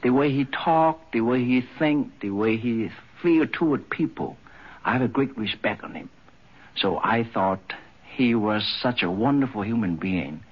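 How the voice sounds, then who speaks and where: A man who is not a native English speaker, giving a spoken tribute in a husky voice. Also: mid-range voice, a little slow. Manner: non-native grammar. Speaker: interviewee. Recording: dull 1950s radio recording.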